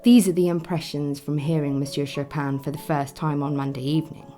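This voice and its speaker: An actress voicing a formal Victorian newspaper critic, reading deliberately in a radio play. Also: female voice, deep voice, steady tone, dark tone, faint music behind, natural voice. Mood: formal, appraising. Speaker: reader of newspaper notices. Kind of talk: dramatised reading of 19th-century newspaper.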